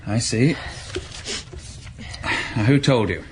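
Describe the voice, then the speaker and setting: A man plays a sceptical police desk sergeant in a radio drama. He questions in terse official phrases, mid-pitched, with faint noise behind. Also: slow pace, natural voice. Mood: brisk, sceptical. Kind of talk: radio drama acting, interrogation.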